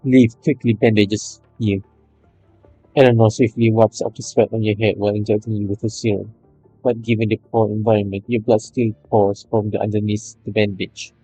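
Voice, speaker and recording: A man's voice: on the low side, level tone, normal speed, dark tone, breathy edge, soft background music, low-quality recording. A man reading a video game's story aloud, stumbling over some lines, with casual commentary. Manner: stumbles over read lines, re-reads phrases. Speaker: video game story narrator and player.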